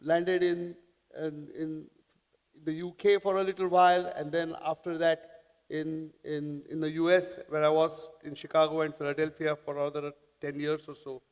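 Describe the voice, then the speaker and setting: A man's voice: fairly high pitch, flat delivery, clean tone. Man in his sixties, echoing hall. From India. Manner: halting, many restarts. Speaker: panel speaker. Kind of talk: conference talk. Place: large conference hall. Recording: long hall reverb.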